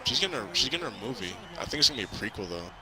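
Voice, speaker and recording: A young man with a mid-pitched voice talks casually in loose slang. He rambles and doubles back on his words as he tells a story. A noticeable crowd bustles behind him. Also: sing-song, racing, poor audio. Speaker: co-host of a movie review chat. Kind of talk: casual spoiler-filled movie chat.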